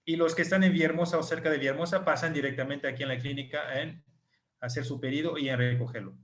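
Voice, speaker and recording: Non-native Spanish-speaking male doctor, patient, repeats numbers slowly, video call. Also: a little high, steady tone, a bit bright. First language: not Spanish. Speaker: clinic doctor. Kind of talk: online group talk.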